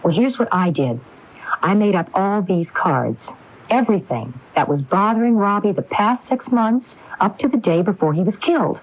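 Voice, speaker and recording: Determined widow on dull AM radio. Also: female voice, medium pitch, animated, normal speed, slightly rough. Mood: pleading, weary. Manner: insistent, probing. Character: determined, anguished widow probing her husband's murder. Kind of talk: 1980s radio mystery drama acting. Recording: dull lo-fi AM radio broadcast recording.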